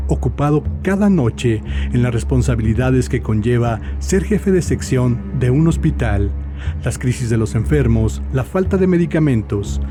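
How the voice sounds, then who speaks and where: Guy narrating a dark audiobook, dramatic, mid pitch, music under it.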